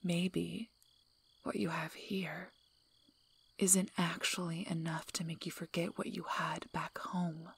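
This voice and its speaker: A guarded female werewolf alpha, played by a woman in an ASMR role-play. She speaks softly in a lowish, husky half-whisper with heavy vocal fry. Her delivery is dry, unhurried and wryly protective. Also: flat delivery, exaggerated voice. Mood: cold, stern.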